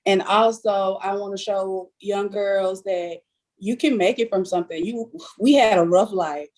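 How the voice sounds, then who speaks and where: A woman in her twenties speaks sincerely on a casual podcast. Her voice sits at an ordinary middle pitch. She speaks with a determined, quick flow after hesitant starts. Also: fairly even intonation, a little mellow. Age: 20s. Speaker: podcast co-host.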